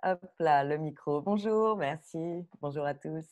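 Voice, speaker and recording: A woman speaking as a guest director on a live panel, explaining in a halting way with pauses mid-sentence. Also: low-pitched, darkish tone, highs cut off.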